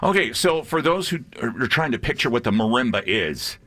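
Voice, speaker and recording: A man hosting a casual live radio interview, halting as he puts short questions and reactions. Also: mid-range voice, big pitch swings, sharp tone, no echo. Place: radio studio.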